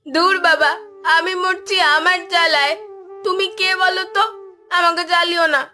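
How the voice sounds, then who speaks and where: A woman's voice: very high voice, fairly even intonation, normal speed, sharp tone, full character voice. A woman voicing a hurt, petulant little village boy in a cartoon, tearful and defiant. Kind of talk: Bengali cartoon voice acting. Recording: music behind the voice.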